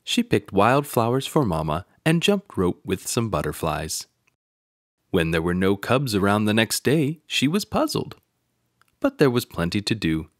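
A man narrating a children's picture book aloud in an expressive storytelling manner. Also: fairly low pitch, unhurried, dry recording, clean studio sound.

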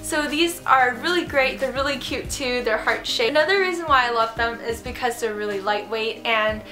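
A woman's voice: a little high, normal speed. Bubbly, congested young woman vlogging. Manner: bubbly, chatty, enthusiastic. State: congested from a cold. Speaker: fashion vlogger. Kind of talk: casual haul vlog. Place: bedroom, indoors. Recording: roomy echo with background music.

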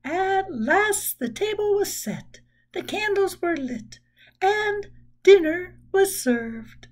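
Casting: woman in her 60s, children's storyteller, high swooping voice, emphatic delivery. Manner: expressive, deliberate, emphatic storytelling. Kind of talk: reading a children's book aloud. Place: small room at home.

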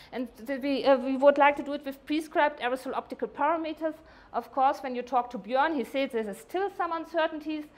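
A middle-aged woman giving a scientific conference presentation, with a hesitant, halting delivery and frequent self-corrections. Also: high-pitched. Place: indoor workshop meeting room.

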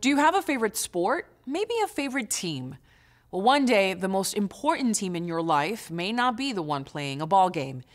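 A young adult woman, the host, giving polished, expressive scripted documentary narration. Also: medium pitch, normal speed.

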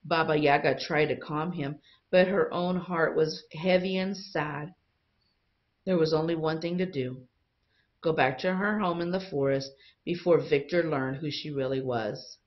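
A woman teacher reading a picture book aloud to young students in an expressive storytelling style. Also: sits low, unhurried, muffled recording.

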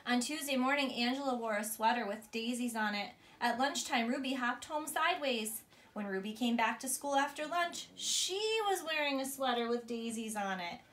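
High, bright woman reading a bedtime story. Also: moderate pace. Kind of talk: storybook read-aloud to a child. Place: small bedroom, on a bed. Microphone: camera a few feet away.

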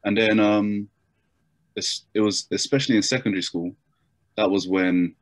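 Young man, low voice, hesitant. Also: steady tone, moderate pace, dry recording. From Japan. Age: young adult. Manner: hesitant, many fillers and restarts. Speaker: interview guest. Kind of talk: casual video-call interview. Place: bedroom at home. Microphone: laptop webcam mic on video call.

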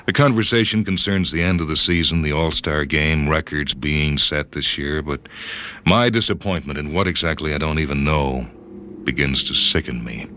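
A man reading a first-person story dramatically, in a brooding, measured manner. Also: rumbling low, bright, forceful tone, slight background noise. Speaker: story reader. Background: background music.

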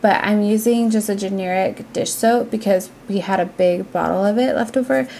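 A woman's voice: mid-range voice, moderate pace, vocal fry, a little mellow, a little hiss or hum. A young adult woman with an American accent, narrating a cleaning vlog in a casual, conversational, explanatory way. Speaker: cleaning vlogger.

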